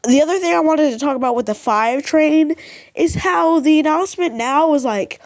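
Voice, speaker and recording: A woman with a fairly high voice talks through a homemade vlog. Her delivery is informal and rambling, with an unsure, self-deprecating streak. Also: normal speed. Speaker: vlogger ranking subway announcements. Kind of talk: casual rambling vlog commentary.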